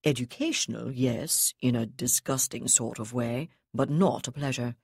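A woman reading the lines of a calm, contemptuous male naval captain in an audiobook, speaking slowly and evenly. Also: a bass voice, slightly rough, dry recording, studio quality, pitched below the performer's own voice, exaggerated voice. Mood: coldly calm.

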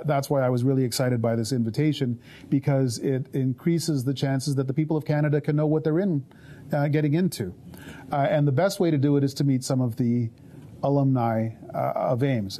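Middle-aged male panel moderator on a lapel mic, warm low tone, halting delivery with dry wit. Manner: halting, many restarts, dry humor. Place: stage of a conference room.

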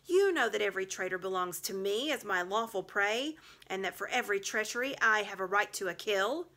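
A woman voicing a cruel, haughty witch queen in a storybook, declaiming with shrill, savage force. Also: lively intonation, brisk, sharp tone. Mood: shrill outrage.

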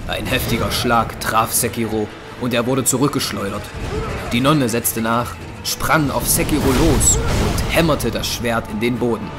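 A man narrating a scripted dramatic story for an audio drama in short, deliberate, measured phrases. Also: mid-range voice. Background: music.

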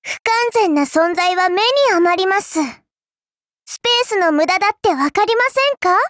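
A woman voicing a childlike, polite but quietly spiteful girl in a game, with a cutely teasing manner. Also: very high voice, normal speed, dry recording, clean studio sound. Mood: polite disdain. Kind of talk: Japanese game character voice lines.

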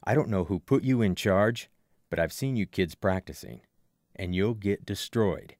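A man voicing a pushy, fanatical ex-ballplayer in his thirties, gruff and blunt, for an audiobook. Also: lowish voice, lively intonation, moderate pace, a little mellow, dry recording, clean studio sound, pitched below the performer's own voice, lightly acted. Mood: pushy, scolding. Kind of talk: audiobook character voice.

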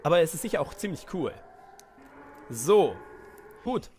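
A man with a cold doing goofy, excitable let's-play commentary and reading game dialogue aloud. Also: fairly high pitch, unhurried, slight background noise. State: has a cold. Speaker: let's player. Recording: music playing behind voice.

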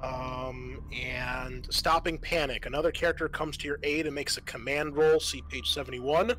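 Bright-voiced man as sweary game master. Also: mid-range voice, fairly even intonation, normal speed, slight background noise. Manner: casual, sweary. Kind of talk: running a tabletop roleplaying game.

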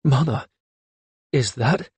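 A man's voice: mid-range voice, slow pace, darkish tone, breathy edge, no echo. A man voicing an earnest young lord of dragon riders who speaks in a firm, formal manner. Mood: stunned.

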